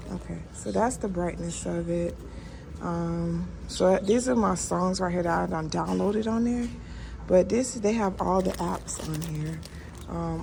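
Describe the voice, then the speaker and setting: A woman's voice: lowish voice, gravelly, noisy background. A woman giving a casual, folksy hands-on product demo. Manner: folksy, informal. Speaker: product reviewer.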